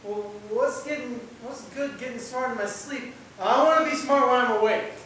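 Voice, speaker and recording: A man's voice: very high-pitched, normal speed, bright, forceful tone. Man acting simple-minded young man, naive, eager, ungrammatical, echoey hall, distant mic. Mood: puzzled, protesting. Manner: naive, plain-spoken. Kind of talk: amateur student stage acting. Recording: echoey stage, distant mic, faint hiss.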